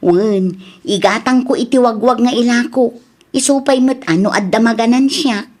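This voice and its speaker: Sharp-tongued Filipino wife played by a woman. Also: fairly high pitch, normal speed, natural voice. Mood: conciliatory. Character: sharp-tongued adult daughter and wife in village comedy. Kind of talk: Ilocano radio comedy-drama acting.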